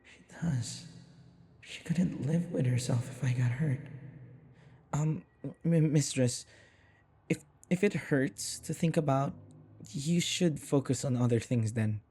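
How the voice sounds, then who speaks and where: Man acting a submissive young servant, audio roleplay, slow and halting, husky breathy voice, nervous, devoted, deferential. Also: medium pitch, dark tone. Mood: flustered, gentle. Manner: hesitant, stammering, deferential. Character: nervous, devoted, submissive young human manservant to a vampire mistress.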